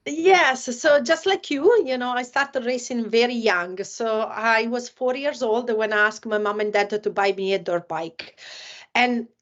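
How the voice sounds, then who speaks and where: A woman's voice: a little high, a little slow. Italian-accented woman chatting on a lo-fi call. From Italy. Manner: many fillers like "you know, like". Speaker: podcast host. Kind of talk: casual podcast chat.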